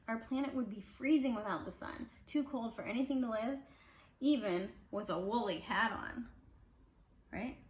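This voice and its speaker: A woman reading a picture book aloud to children in a casual, conversational way, adding little explanations as she goes. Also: fairly high pitch. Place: indoors at home. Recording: roomy, echoey home recording with faint noise.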